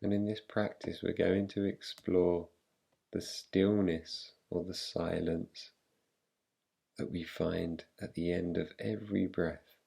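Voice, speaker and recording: A man leads a guided relaxation with a calm, soothing delivery. His voice is very low and creaky, with a dark, mellow tone. He lets long pauses settle between his phrases. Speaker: meditation guide. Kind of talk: guided relaxation, yoga nidra meditation.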